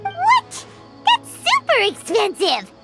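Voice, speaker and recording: A tiny fairy companion with a very high, squeaky child's voice. She is excitable and swings brightly up and down like a game sidekick, with music playing behind her. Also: slow, gravelly, heavily acted. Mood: shocked. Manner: refers to self in third person, exclaiming. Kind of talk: video game voice acting.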